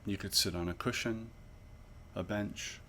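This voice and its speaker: A man leading a guided body scan meditation as an instructor, with measured, pausing, gently instructive phrasing. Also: on the low side, fairly even intonation, slight background noise.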